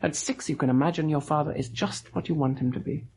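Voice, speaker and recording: An actor playing a weak, gentle husband of about thirty in a radio drama, husky and halting, with a plaintive, weary manner. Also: male voice, a little high, slight background noise, natural voice, rough recording. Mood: self-reproachful. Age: 30s. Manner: halting, plaintive, self-doubting. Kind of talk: old radio drama acting.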